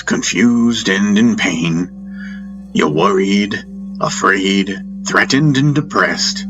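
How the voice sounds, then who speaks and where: A man voicing a wise, teasing and stern spiritual master in a fantasy audiobook, chiding in a theatrical way. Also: low-pitched.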